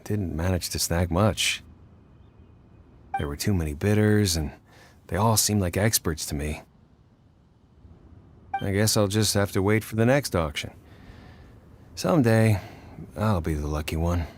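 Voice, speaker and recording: A man's voice: low voice, measured pace, pauses often, slight background noise. An actor playing a hapless, downcast male auction bidder in a video game, hesitant and resigned. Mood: dejected, wistful.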